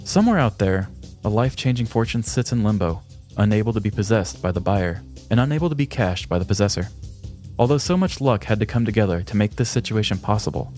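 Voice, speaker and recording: Low-voiced man narrating over music. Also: animated, fast, a little mellow. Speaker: podcast host. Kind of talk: scripted storytelling narration. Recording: music behind the voice. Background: background music.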